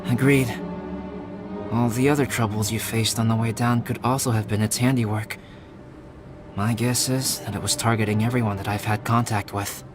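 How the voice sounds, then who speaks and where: Man playing a low-voiced, calm scholarly alchemist. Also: music in the background, natural voice. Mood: thoughtful. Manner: measured, articulate, detached explaining. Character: calm, analytical young male alchemist and scholar. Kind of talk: video game voice acting.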